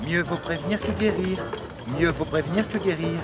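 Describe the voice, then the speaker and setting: An actor voicing an anxious young steam locomotive, eager and hesitant, in a French children's cartoon dub. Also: male voice, fairly high pitch, normal speed, natural voice. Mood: anxious, cautious. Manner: worried, hesitant.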